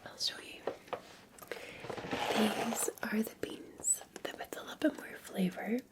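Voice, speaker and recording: A woman with a Scottish accent whispers in a breathy, lightly high voice. She speaks gently and soothingly in an ASMR roleplay, as a café barista chatting one-to-one with a customer. The delivery is intimate and unhurried. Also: fairly even intonation. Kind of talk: ASMR roleplay, softly talking to the listener as a customer.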